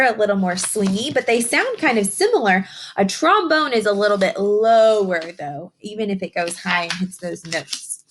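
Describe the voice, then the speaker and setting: A woman teacher reading a picture book aloud to young children, enthusiastic and encouraging, with expressive phrasing and simple questions for her listeners. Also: a little high. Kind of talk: read-aloud lesson addressed to young children.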